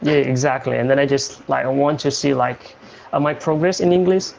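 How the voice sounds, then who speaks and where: A young man from Indonesia hosting a casual livestream chat, talking in a halting way with many fillers and restarts. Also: medium pitch, vocal fry, a little mellow, a little hiss or hum, rough recording. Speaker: livestream host.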